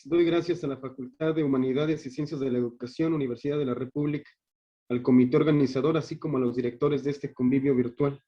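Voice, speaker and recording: A man's voice: mid-range voice, warm tone. A man with a northern Mexican accent reading an academic paper aloud in a formal, literary manner, as a conference speaker. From Monterrey, Mexico. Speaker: conference speaker, theatre director. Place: video call. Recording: dull online call audio.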